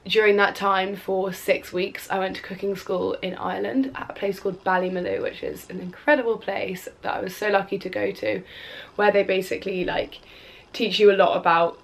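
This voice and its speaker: A woman's voice: medium pitch, fairly even intonation, moderate pace, creaky, poor audio. A woman in her twenties answering viewer questions to camera in a casual, rambling way, sounding stuffy from a cold. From Wales. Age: 20s. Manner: chatty, rambling with fillers and restarts. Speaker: cook and influencer. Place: bedroom at home, indoors. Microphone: camera on a tripod in front of her.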